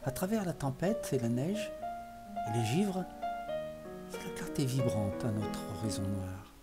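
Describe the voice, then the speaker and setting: A man's voice: mid-range voice, big pitch swings, measured pace. Man reciting poetry over music. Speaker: poetry reader. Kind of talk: dramatic, literary poetry recitation. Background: music behind the voice.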